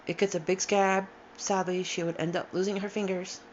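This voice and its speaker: A tired, upset woman in her forties speaks at a middling pitch, halting often and sighing between thoughts. Her account comes out unscripted, with restarts. The recording is dull and lo-fi, with a faint hiss underneath. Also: flat delivery. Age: 40s. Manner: halting, emotional, with sighs and restarts. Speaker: storyteller sharing a personal account. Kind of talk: unscripted personal testimony.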